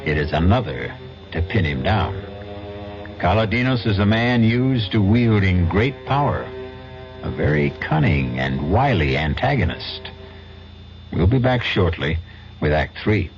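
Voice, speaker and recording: A man narrating a radio drama in a grave, measured way. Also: low voice, big pitch swings. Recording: dull lo-fi vintage radio with music.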